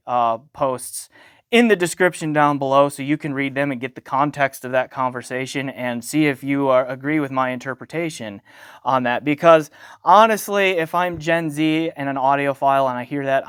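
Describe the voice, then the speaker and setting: Clear, smooth-voiced man reviewing gear casually. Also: a little high, no echo, studio quality. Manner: conversational, punctuates points with checking tags. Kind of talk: casual unscripted product review.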